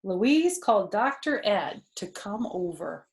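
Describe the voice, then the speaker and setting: A woman's voice: medium pitch, big pitch swings, slow. An older woman reading a children's storybook aloud expressively, with explanatory asides.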